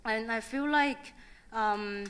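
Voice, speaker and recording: A woman's voice: fairly high pitch, slow, fairly bright tone, clean tone. A young woman with a Chinese accent speaking on a conference panel, hesitant, with many fillers and restarts. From China. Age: young adult.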